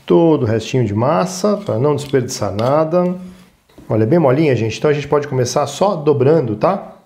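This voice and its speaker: A man's voice: mid-range voice, lively intonation, fairly quick, a little mellow, slight background noise. A man with a Brazilian Portuguese accent hosting a casual step-by-step cooking demonstration, informal and instructive, often adding little check-in tags. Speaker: cooking show host.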